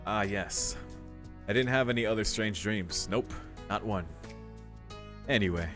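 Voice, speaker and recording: A man with a lowish voice reads a visual novel aloud as a let's play host, dropping casual comments. Soft game music sits behind him. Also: unhurried. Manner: casual, reacting. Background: faint game music.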